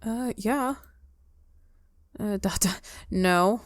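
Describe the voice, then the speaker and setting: A woman's voice: mid-range voice, very slow, dry recording. A woman giving casual let's play commentary as she plays, reacting and talking back to the game, close to the mic. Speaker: gaming video host, player.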